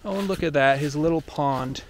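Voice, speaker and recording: A man's voice: fairly high pitch, moderate pace, a little hiss or hum. A man giving a playful walk-through of a decorated outdoor trail at night, narrating in a theatrical storybook manner while holding the camera.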